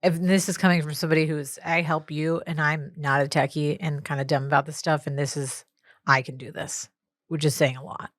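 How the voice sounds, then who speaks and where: A woman co-hosting a podcast, chatty and self-deprecating, with a somewhat deep voice. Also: fairly even intonation, brisk, no room sound, clean studio sound. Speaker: podcast co-host. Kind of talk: casual podcast chat.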